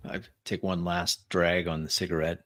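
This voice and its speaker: Male, low and forceful voice, deliberate first-person tabletop roleplay narration. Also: level tone. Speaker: player in a tabletop roleplaying game.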